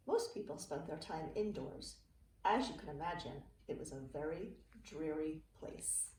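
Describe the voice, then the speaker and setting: A middle-aged woman, a teacher reading a children's picture book aloud with expressive, clearly phrased delivery for young listeners. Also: medium pitch. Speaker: early learning teacher reading to students. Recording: roomy, distant mic with faint noise.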